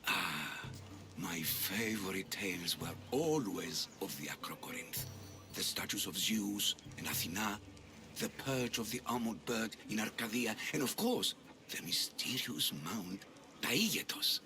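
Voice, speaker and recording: A man playing a gentle, wistful old blind Persian beggar in a video game. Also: fairly low pitch, very animated, unhurried, music in the background. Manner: storytelling, courteous, wistful. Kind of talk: video game cutscene acting.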